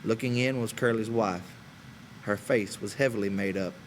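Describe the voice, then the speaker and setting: A man's voice: lowish voice, normal speed. Man narrating, noisy recording. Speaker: audiobook reader. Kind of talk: dramatic scripted narration.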